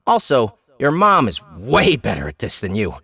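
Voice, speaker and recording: A man's voice: mid-range voice, wildly swooping pitch, vocal fry, bright, forceful tone, slightly rough, dry recording, pitched above the performer's own voice, heavily acted. Man playing a mocking, swooping game system voice. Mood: mock solemn. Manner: teasing, theatrically drawn-out announcements. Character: mocking disembodied game system announcer, gleeful prankster. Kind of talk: fantasy game notification in audiobook.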